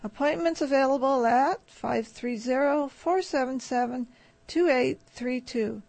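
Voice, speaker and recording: A woman hosts a radio show from a studio, announcing tracks and reading a sponsor message. Her voice sits a little high and she takes her time. The delivery is relaxed and steady. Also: measured pace, gravelly, no echo. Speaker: radio host. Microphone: studio microphone.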